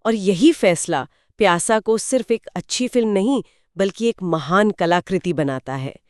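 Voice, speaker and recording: A woman's voice: mid-range voice, lively intonation, a little slow, no room sound, clean studio sound. A woman giving explanatory, conversational film commentary in an earnest storytelling way. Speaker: podcast co-host.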